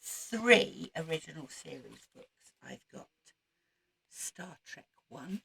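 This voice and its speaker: A woman with a croaky voice, recovering from voice loss, chatting casually to camera as the host of a book channel. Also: low-pitched, expressive, slow pace, fairly bright tone. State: croaky, recovering from losing her voice. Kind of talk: casual chat to camera about reading plans.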